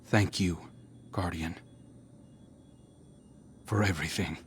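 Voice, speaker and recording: An actor playing an earnest, humble young male guardian in a video game, speaking in a measured, heartfelt way. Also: deep voice, steady tone, slight background noise.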